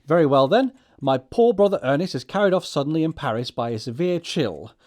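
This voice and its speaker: An actor playing an earnest, easily flustered young upper-class English gentleman with a proper, fussy manner, in a stage comedy audio production. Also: on the high side, animated, brisk, lightly acted. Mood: fussy, decided.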